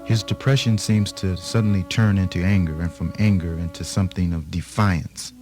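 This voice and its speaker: A man's voice: low-pitched, steady tone, normal speed. A man reading scripted narration for a radio theatre tale. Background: music.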